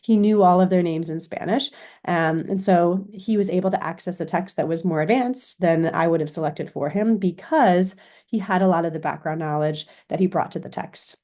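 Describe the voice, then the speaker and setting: American woman teacher explaining stuff in a recorded class, slightly low voice, says right? a lot. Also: normal speed, mellow. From Chicago, United States. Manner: explanatory, often checks with "right?". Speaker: university lecturer in education. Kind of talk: informal recorded lecture.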